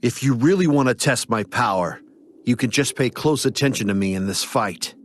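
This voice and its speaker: A man voicing an earnest, protective young hero in an anime game, serious, measured and firm. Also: medium pitch, slight background noise, natural voice. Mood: resolute. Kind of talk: dramatic anime game voice acting. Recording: music behind the voice.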